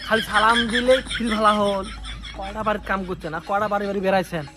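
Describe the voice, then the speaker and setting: Man playing a high-voiced, cheeky election aide. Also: fairly even intonation, quick pace, heavy background noise, natural voice. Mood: teasing. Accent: rural North Bengal. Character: cheeky, sly male election aide to a village politician. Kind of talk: amateur village comedy skit acting.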